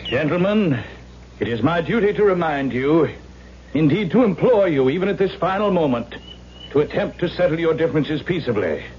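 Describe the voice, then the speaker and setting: Higher-pitched actor type, performed by a man. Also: animated, moderate pace, natural voice. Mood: formal, solemn. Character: weary, wisecracking male film actor, soon alarmed. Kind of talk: 1970s radio drama acting. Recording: muffled, hissy old radio recording.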